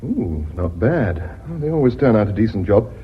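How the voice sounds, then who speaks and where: A man's voice: on the low side, very animated, normal speed. A male actor with a British accent playing a bitter, lovelorn chief accountant in a radio drama. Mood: businesslike. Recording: dull lo-fi radio recording, clear hiss.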